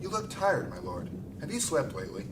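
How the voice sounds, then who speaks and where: An arrogant male mage of a secret council. His audio-drama delivery is theatrical and cutting, swinging widely in pitch over faint ambient noise. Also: huge pitch swings, fairly quick. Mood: smooth, probing. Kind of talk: fantasy audio drama acting.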